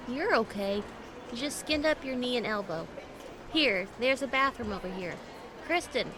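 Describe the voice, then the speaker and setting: A woman plays a weary, anxious mother with a slightly high voice and a tired, worried tone. A noisy waiting room is heard around her. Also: lightly acted.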